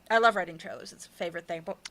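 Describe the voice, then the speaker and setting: A woman's voice: on the low side, quick pace, pushed and bright. An American woman chatting casually as an interview guest, wry and often interrupting herself as she tells stories. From United States. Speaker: interview guest, audio drama writer and producer. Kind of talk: casual chat.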